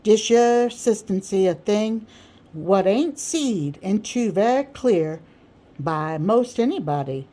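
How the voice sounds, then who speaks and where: A woman's voice: mid-range voice, lively intonation, slow, warm tone. A pompous, boastful male orator from a storybook, acted out by a woman reader. He speaks in folksy dialect and leans hard on his grand words, holding forth as if to a crowd of admirers. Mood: pompous, explaining. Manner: grandiloquent, emphatic, folksy dialect speech with mangled big words.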